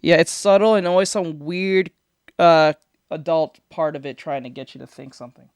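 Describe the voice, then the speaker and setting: A man's voice: fairly high pitch, fairly even intonation, moderate pace, clean tone, no room sound, studio quality. A man streaming casual reaction commentary, rambling and opinionated with lots of swearing and restarts. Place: foam-treated home studio. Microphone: studio microphone.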